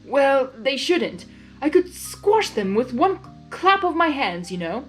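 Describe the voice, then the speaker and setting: A woman's voice: high voice, sing-song, slow pace, soft background music, voice pushed up, heavily acted, low-quality recording. A woman voicing a smug, blustering male creature of chaos in a theatrical, commanding way for a story reading. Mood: smug, defiant. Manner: pompous, commanding, exclaiming.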